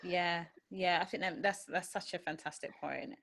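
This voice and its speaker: Female podcast co-host, slightly low voice, agreeable and conversational, very dry recording. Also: fairly even intonation, normal speed, gravelly, fairly bright tone, no echo. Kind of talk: casual conversational discussion.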